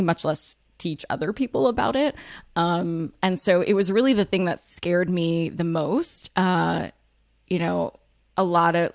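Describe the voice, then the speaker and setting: A woman, talking candidly as an interview guest, frank and self-deprecating with lots of fillers and quick laughs. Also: fairly low pitch, dry recording, studio quality. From United States. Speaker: author and guest.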